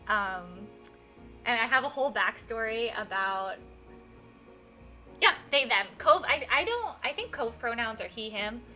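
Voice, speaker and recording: Bright, excitable woman streamer. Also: on the high side, measured pace, long pauses. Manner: effusive, excitable. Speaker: live streamer, host. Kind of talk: casual game stream chat. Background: music.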